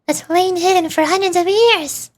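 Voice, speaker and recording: A woman voicing a tiny, curious, cheeky fairy companion from a fantasy game, with a small, perky voice. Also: normal speed. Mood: eager, cheeky. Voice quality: very high, small. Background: game music.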